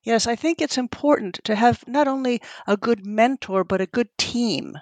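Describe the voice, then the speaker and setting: A man's voice: very high voice, dry recording. A middle-aged man whose first language is Italian, answering interview questions as a lab head and often restarting his sentences. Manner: halting, many restarts and repeated words.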